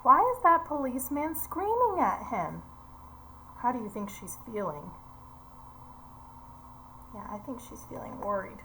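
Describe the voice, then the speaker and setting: Vrouw met vrij hoge stem leest kinderen voor. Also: female voice, fairly high pitch, animated, sharp tone, slight background noise, rough recording. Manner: explanatory, pausing to ask listeners simple questions. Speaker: reader of a children's picture book. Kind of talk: read-aloud with explanations and questions for young children.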